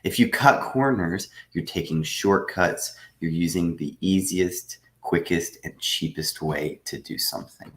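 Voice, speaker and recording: A man teaching a live online English lesson, patiently explaining with frequent pauses. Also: low-pitched, fairly bright tone, roomy sound. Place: room at home.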